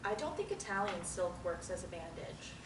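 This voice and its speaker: A woman's voice: lowish voice, animated, normal speed, natural voice. A woman at a table reading, playing an abrasive, defensive young woman in court-ordered therapy who is sarcastic and swears freely. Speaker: table-read actor. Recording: roomy, distant mic, faint noise.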